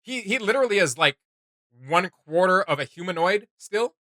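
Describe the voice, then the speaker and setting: Guy co-hosting a reaction vid, super bright voice, kinda high, lots of um and uh, laughs a bunch. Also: expressive, moderate pace, dry recording, studio quality. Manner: rambling, filler-heavy, laughs often. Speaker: reaction video co-host. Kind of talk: casual reaction chat.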